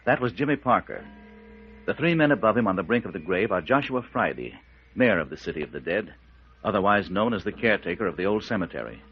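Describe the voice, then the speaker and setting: A male announcer tells a vintage radio mystery with suspense and emphasis. The voice is fairly low, husky and widely inflected, on a muffled old recording. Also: lively intonation, fast. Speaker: announcer and narrator. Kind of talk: dramatic scripted 1940s-style radio serial narration.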